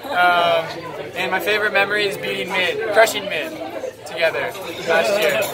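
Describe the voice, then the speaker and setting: Young American male, high voice, casual, crowded restaurant. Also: fairly even intonation, moderate pace. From Northern California. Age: young adult. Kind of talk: casual on-camera interview answers. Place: busy restaurant booth. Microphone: camera at table distance. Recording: loud restaurant chatter.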